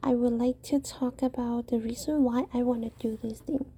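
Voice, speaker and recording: A woman's voice: fairly high pitch, fairly even intonation, moderate pace, warm tone, husky. A woman from Guangdong with a Cantonese accent, hosting a podcast and reading a prepared monologue carefully, with occasional hesitations. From Guangdong, China. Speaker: podcast host.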